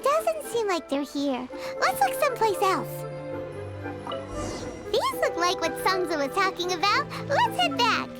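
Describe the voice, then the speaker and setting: Tiny game fairy, extremely high squeak, childlike, perky, very animated delivery. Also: female voice, unhurried, loud music behind the voice, exaggerated voice. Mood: chirpy, eager. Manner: chirpy, refers to self by name. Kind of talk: video game voice acting.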